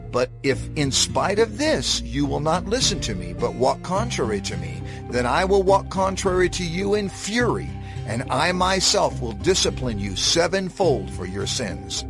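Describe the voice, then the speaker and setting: An actor playing the God of Israel as a stern, solemn lawgiver in a dramatized scripture reading, declaiming with menace. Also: male voice, mid-range voice. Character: God of Israel, stern wrathful lawgiver warning his people.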